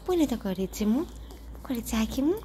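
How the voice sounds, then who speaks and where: A woman croons to her pets in gentle baby talk, her fairly high voice bouncing up and down in a lively singsong. She repeats little pet names over and over. Faint room ambience and dogs sit in the background. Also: background noise. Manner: repeats soft endearments in a singsong. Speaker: pet owner.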